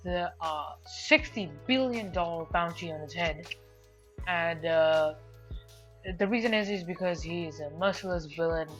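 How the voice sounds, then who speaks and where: A woman's voice: fairly low pitch, a bit bright. A woman reviewing anime in an informal video essay, speaking emphatically and repeating phrases for emphasis.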